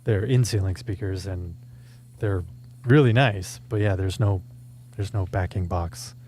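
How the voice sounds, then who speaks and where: A man's voice: sits low, unhurried, darkish tone, a little hiss or hum. A man co-hosting a show, asking questions and chatting casually in an interview.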